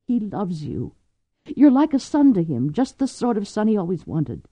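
A woman's voice: medium pitch, sing-song, moderate pace, warm tone, dry recording, voice pushed up. A woman voicing a frightened, tearful pregnant young woman with an urgent manner. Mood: pleading. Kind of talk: audiobook character voice. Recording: dull lo-fi audio.

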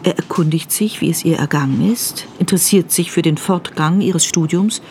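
A woman reading scripted drama narration in a measured, unhurried manner. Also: on the low side, a little mellow, slight background noise. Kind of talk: scripted present-tense narration.

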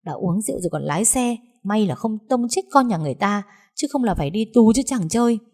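A woman voicing a domineering older mother who scolds and wails loudly. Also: lowish voice, lively intonation, brisk. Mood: scolding. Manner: scolding, shouting, wailing.

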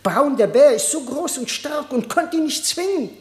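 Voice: high-pitched, animated, moderate pace. Brief: small clever tomcat courtier, deferential and reluctant, formal verse, classic radio fable, echoey studio. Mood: anxious, pleading. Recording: roomy, echoing studio radio recording.

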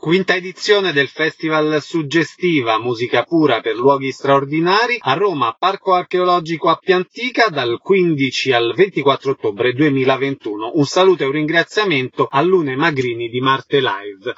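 Higher-voiced male radio host, slightly distant. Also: animated, moderate pace, few pauses, a bit bright, husky, no room sound. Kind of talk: radio arts interview, announcing details. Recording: muffled, distant radio sound.

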